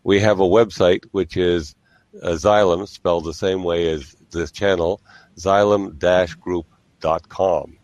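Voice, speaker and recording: A man with a low voice talks in a measured, halting manner, as the host of a casual online discussion. He explains things step by step, and the sound is dry, with hardly any room in it. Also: fairly even intonation, darkish tone, no echo, muffled recording. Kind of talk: casual, explanatory live-stream chat.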